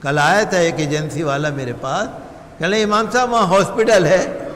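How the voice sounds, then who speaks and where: Male imam, high voice, impassioned oratorical sermon, repeated phrases, long hall reverb. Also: moderate pace, a bit bright. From India. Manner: oratorical, repetitive phrasing with rhetorical questions. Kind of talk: impassioned sermon on public affairs.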